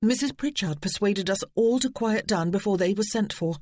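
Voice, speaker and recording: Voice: medium pitch, moderate pace, a little mellow, voice pushed up, close to an everyday voice. A narrator voicing an anxious young lady companion, explaining in a breathless rush. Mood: upset.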